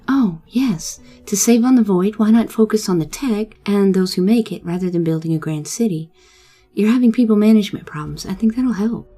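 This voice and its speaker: A woman playing a shrewd, composed negotiator, speaking in a measured, assured way in a scripted audio drama. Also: medium pitch, warm tone, soft background music, natural voice. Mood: firm, persuasive.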